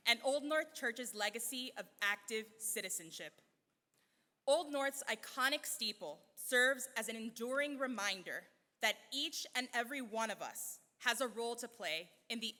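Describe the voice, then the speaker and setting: A woman's voice: a little high, bright, forceful tone. A woman giving a scripted welcome speech in a formal, list-like delivery. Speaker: event speaker. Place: large church hall. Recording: long reverb of a large hall.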